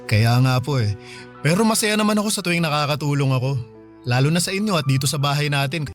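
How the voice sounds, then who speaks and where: A man's voice: a little high, expressive, fast, faint music behind, lightly acted. An actor playing a dutiful, respectful son in his thirties, polite and conversational in a radio drama. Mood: reassuring.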